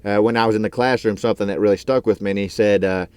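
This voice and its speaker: A man's voice: on the low side, fairly even intonation, rapid-fire, darkish tone, smooth, no echo. A man in his thirties talking casually to his vlog from his truck, earnest and rambling with lots of fillers. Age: 30s. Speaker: truck driver and YouTuber.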